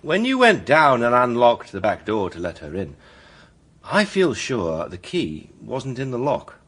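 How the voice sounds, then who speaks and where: Cocksure British barrister, husky and animated. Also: male voice, mid-range voice, measured pace, full character voice. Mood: probing, insistent. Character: cocksure, suave male defence barrister, theatrical and sly. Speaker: defence barrister. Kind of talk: British courtroom radio drama.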